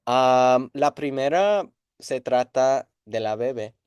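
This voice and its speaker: A man's voice: mid-range voice, lively intonation, darkish tone, clear, resonant tone, dry recording, clean studio sound. A man interviewing a guest in a casual chat, friendly and encouraging. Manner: friendly, encouraging, quick reactions. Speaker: interviewer, Spanish teacher.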